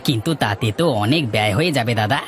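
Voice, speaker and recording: A man's voice: medium pitch, animated, quick pace, some chatter behind, lightly acted. A man voicing a brash, teasing village farmer with an offhand manner in a cartoon folk tale. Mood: teasing, casual. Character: brash, teasing village banana farmer, older brother type.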